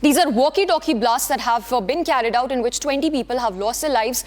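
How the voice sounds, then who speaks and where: News anchor lady, high voice, lots of uhs, bit of a long echo on it. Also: fairly quick. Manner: hesitant, many fillers and restarts. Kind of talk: live news bulletin, ad-libbed.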